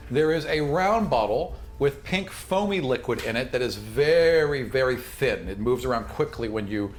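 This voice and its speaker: A man's voice: mid-range voice, animated, normal speed. A man running a tabletop role-playing game, describing things in an informal, off-the-cuff way with casual asides. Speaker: game master.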